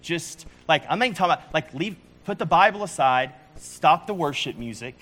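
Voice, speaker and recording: A man's voice: a little high, lively intonation, brisk, a bit bright. A man in his forties preaching a sermon in a casual, conversational way, often restarting his sentences. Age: 40s. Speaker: pastor.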